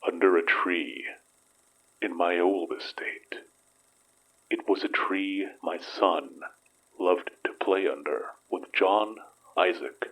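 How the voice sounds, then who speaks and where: A low, husky, grieving father. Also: full character voice, low-quality recording. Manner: halting, weary, hushed. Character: grieving father haunted by his lost son. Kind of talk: intimate audio drama acting.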